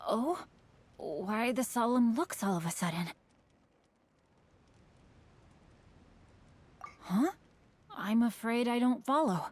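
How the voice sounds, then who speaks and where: Bookish teenage boy for an anime game: fairly high voice, formal wording, earnest, flustered edge. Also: lively intonation, unhurried. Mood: puzzled. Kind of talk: anime-style video game voice acting.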